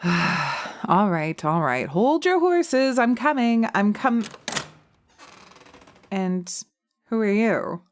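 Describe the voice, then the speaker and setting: Woman acting as a brash witch, mid-pitched, slow-talking, blunt, exasperated, sarcastic, audio roleplay. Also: sing-song. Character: brash, sarcastic young witch fed up with men.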